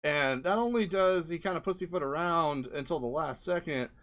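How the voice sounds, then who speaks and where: A man's voice: fairly high pitch, normal speed, fairly bright tone. Casual, rambling man commentating. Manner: rambling, frequent "like" and "right?" tags. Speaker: indie game developer presenting a video. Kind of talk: casual, unscripted screen commentary.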